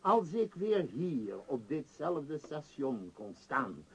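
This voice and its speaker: An actor playing a powerful middle-aged tycoon in a radio play, bitter and declamatory, longing for lost freedom. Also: male voice, fairly high pitch, big pitch swings, takes it slowly, slightly rough, heavily acted, poor audio. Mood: agitated, yearning. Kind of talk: theatrical radio play acting.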